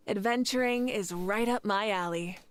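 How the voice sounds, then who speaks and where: Actress as unhurried, composed young adventurer woman. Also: mid-range voice, lightly acted. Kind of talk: video game voice acting.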